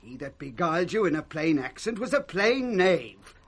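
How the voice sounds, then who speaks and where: An actor playing a blunt, quarrelsome old servant in 1960s British radio Shakespeare, scornful and declamatory. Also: male voice, on the high side, very animated, normal speed, husky, full character voice. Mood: plain, defiant.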